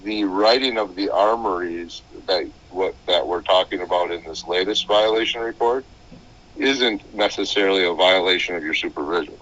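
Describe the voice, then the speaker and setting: A deep-voiced man speaks as a probation officer on a recorded phone call. His tone is bright and forceful, and he explains procedure haltingly, often checking that it makes sense. The sound is dull and lo-fi.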